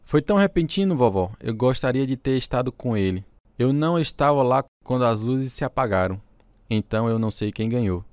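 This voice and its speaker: A man's voice: mid-range voice, animated, a little fast, a little mellow, dry recording, lightly acted. An actor with a Brazilian accent playing an earnest, reflective pastor telling his life story in a radio drama. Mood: earnest, tender. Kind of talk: radio drama narration and dialogue. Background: guitar music.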